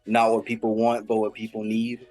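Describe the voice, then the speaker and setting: A man working as an interviewer, asking long, earnest questions in a measured conversational way. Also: lowish voice, monotone, a little mellow, faint music behind.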